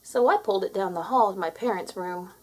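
A woman reading a children's story aloud in a dramatic, expressive storytelling manner. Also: medium pitch, brisk, a little hiss or hum, poor audio. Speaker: storybook reader.

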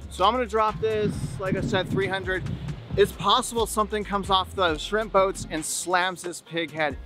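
High-pitched man vlogging outdoors. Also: steady tone, normal speed, over music. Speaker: fishing channel host. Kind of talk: casual outdoor vlog talk to viewers. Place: outdoors on an open beach and in a kayak at sea.

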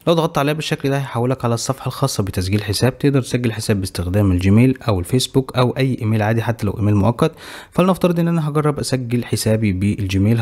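A young man with an Egyptian Arabic accent who hosts a tech channel. He briskly talks viewers through a website, explaining each step and click as he goes. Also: medium pitch, darkish tone. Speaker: tech tutorial host. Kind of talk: screen-recorded software tutorial.

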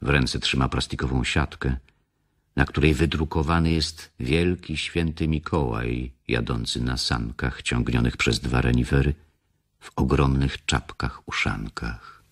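A man's voice: extremely low pitch, steady tone, a little slow, exaggerated voice. A man narrating scenes in a radio drama, reading a script.

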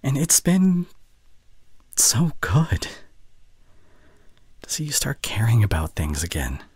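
A man playing a soft, breathy, caring boyfriend. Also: mid-range voice, huge pitch swings, slow pace, no echo, heavily acted. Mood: concerned, tender. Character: caring, worried young boyfriend, gentle and earnest. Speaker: audio roleplay performer. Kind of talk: intimate boyfriend audio roleplay. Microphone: close studio condenser microphone.

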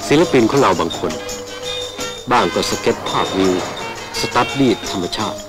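A man's voice: on the low side, animated, moderate pace. A man reading scripted, ceremonial documentary narration on a vintage film soundtrack. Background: music.

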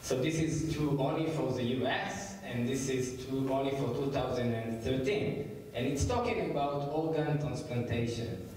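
A man in his forties with an Israeli accent explains his research plainly and steadily to an audience. His voice sits at a mid pitch. It rings through a big hall and sounds distant. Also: normal speed, darkish tone, slight background noise. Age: 40s. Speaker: scientist giving a talk. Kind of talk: lecture presentation. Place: large theater stage. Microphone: handheld mic. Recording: echoey hall from far off.

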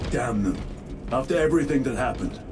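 An actor voicing a grim, guarded veteran space marine lieutenant in a video game, terse and commanding. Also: male voice, mid-range voice, lively intonation, brisk, lightly acted. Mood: bitter, guarded. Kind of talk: dramatic video game acting. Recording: loud cinematic music behind.

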